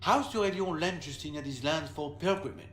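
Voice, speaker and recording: In an echoing space with faint noise, a man plays a proud marquis from a fantasy game. His fairly high male voice is pompous and formal, declaiming with haughty indignation. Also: brisk, fairly bright tone, close to an everyday voice. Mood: haughty, indignant. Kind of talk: video game dialogue acting.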